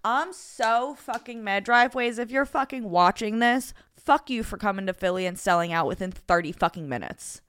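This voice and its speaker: A woman's voice: medium pitch, moderate pace, hardly any pauses, fairly bright tone, clean tone, dry recording. Young female co-host, chatty storyteller, swears a lot, mid-range voice. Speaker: podcast co-host. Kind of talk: casual storytelling chat.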